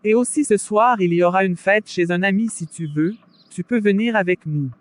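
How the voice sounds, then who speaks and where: A performer playing a worldly but soft-hearted young woman who loves parties, in a scripted animated drama. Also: medium pitch, moderate pace, lightly acted. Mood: casual, friendly. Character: worldly young female party-goer, wavering, soft-hearted.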